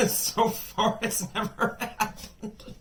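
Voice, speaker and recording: A man giving a casual tarot reading, chatty and blunt, swearing freely and full of asides, his voice a bit worn and hoarse as if under the weather. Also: high voice, steady tone, extremely slow, noisy background. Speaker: tarot reader.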